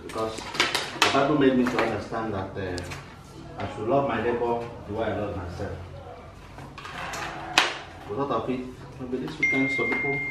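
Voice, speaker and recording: A middle-aged man talking earnestly and a little preachy in a casual home vlog. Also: lowish voice. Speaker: vlogger's husband. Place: large tiled living room, indoors. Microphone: far camera. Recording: echoey room from far off, loud noise.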